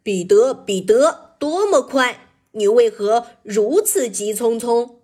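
A woman's voice: mid-range voice, big pitch swings, slow, breathy edge, heavily acted. A woman voicing a watchful male raccoon with a serious streak and a declamatory manner in a children's story. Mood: loud, teasing. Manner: declamatory, rhyming calls.

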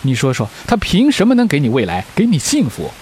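A man's voice: on the high side, wildly swooping pitch, fairly quick, darkish tone. An actor in a radio drama playing a stern, protective middle-aged father, lecturing firmly. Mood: stern, pleading. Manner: firm, lecturing. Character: stern, protective widowed father of a teenage girl. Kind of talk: Chinese radio drama acting. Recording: faint hiss, rain-like noise.

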